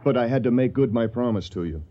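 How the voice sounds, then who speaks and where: An actor playing a gentle, idealistic young Yankee soldier in a radio drama, soft-spoken and earnest. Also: male voice, medium pitch, fast talker, close to an everyday voice. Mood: impassioned, resolute. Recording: muffled radio recording, background noise.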